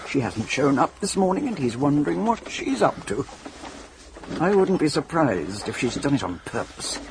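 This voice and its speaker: An actress playing a brisk, opinionated, practical English woman in a radio drama, with a no-nonsense manner. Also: fairly low pitch, noisy background.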